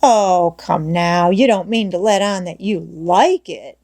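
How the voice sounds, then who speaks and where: A woman's voice: fairly low pitch, takes it slowly, a little mellow. A woman voicing an eager young boy in an audiobook, wheedling and insistent in country-boy phrasing. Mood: skeptical. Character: eager, pleading young boy begging for a turn. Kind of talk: audiobook character voice.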